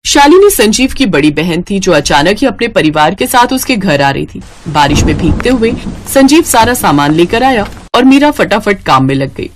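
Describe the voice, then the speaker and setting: A woman narrates a scripted family moral story. Her voice sits at a medium pitch, and she works as the storyteller linking the scenes. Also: very animated, normal speed.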